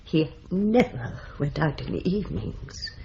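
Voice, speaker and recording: A woman's voice: low voice, big pitch swings, unhurried, mellow, a little hiss or hum, heavily acted. An actress playing a firm, proper woman of a dying man's household in an old radio drama. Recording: slightly dull old radio recording.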